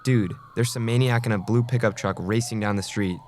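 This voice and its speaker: A man's voice: lowish voice, steady tone, a little fast, darkish tone, natural voice. A man playing a panicked passerby in an audio drama, urgent and alarmed. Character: panicked male passerby fleeing danger. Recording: clear background noise with sirens.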